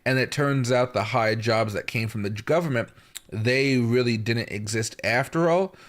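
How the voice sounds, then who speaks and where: A man hosting a live market stream, his voice hoarse from a chest infection. He talks casually and without hurry, pausing often and filling gaps with ums and uhs, at a mid pitch typical for a man. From Seattle area, US. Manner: casual, unhurried, with pauses and frequent fillers. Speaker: crypto market livestream host.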